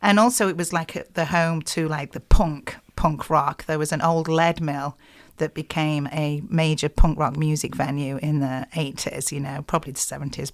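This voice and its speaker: A middle-aged woman with a Yorkshire accent, a singer-songwriter chatting in a radio interview with lots of "you know" and "like". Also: low-pitched. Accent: Yorkshire English. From South Yorkshire, England.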